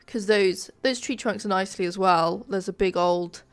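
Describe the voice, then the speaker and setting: A woman giving casual, informal commentary over a game building video, sounding nasal and a bit flat from a sore throat. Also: medium pitch, moderate pace, clean tone. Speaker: gaming video creator, narrator.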